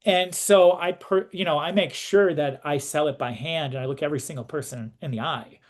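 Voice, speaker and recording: Halting, higher-pitched male interviewer. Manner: halting, informal, roundabout phrasing. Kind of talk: casual interview conversation.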